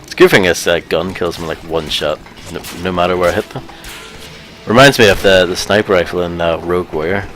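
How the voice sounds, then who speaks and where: Deep-voiced, dry man commenting over a video game. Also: brisk, fairly bright tone, faint music behind. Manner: dry, sarcastic, clipped remarks. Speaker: co-host playing the game. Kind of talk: casual gameplay commentary.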